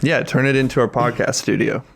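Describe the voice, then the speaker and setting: A man's voice: medium pitch, fairly even intonation, a little fast, gravelly, fairly bright tone, slight background noise. A man in his thirties chatting casually as a podcast co-host, joking crudely and swearing freely. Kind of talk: casual chat.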